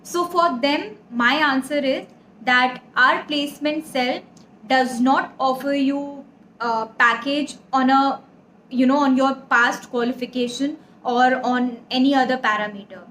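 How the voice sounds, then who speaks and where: A woman's voice: high voice, steady tone, measured pace, a bit bright. A young woman with an Indian accent giving career advice in an earnest, explanatory way, addressing listeners directly. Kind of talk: advisory talk to camera. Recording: echoey room, audible background noise.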